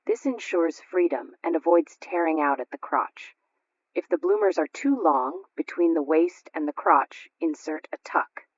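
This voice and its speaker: A woman's voice: deep voice, a little slow, husky, no room sound. Lady doing a practical housewife on old radio, proud n precise, giving tips, muffled sound. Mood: approving, instructive. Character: proud, practical, painstaking housewife at sewing club. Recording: dull lo-fi radio recording.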